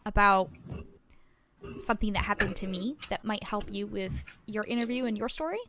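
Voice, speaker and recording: A woman playing an earnest, patient small-town reporter in an audio drama, explaining things in a measured, reflective way. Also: mid-range voice, steady tone, a bit bright, close to an everyday voice. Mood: reflective, confiding. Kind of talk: audio drama dialogue, naturalistic.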